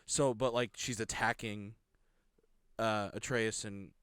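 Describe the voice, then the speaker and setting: Guy rambling about games, laughs a lot, smooth clean voice, dry close sound. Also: fairly even intonation, takes it slowly, a bit bright, dry recording. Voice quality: low voice. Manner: rambling, laughs often, many restarts. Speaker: podcast co-host. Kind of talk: casual chat.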